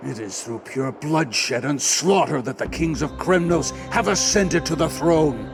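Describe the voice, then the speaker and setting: Cold cruel king in a game, super gravelly, slow and commanding. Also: fairly high pitch. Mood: cold contempt. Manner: slow, imperious. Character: ruthless warrior king, cold, commanding, contemptuous. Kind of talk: dramatic video game voice acting. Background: loud music.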